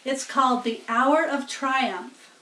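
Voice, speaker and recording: Slow, high-voiced woman reading a storybook. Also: pushed and bright. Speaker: storybook reader. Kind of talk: slow read-aloud narration with casual explanatory asides. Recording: roomy, distant-sounding home recording.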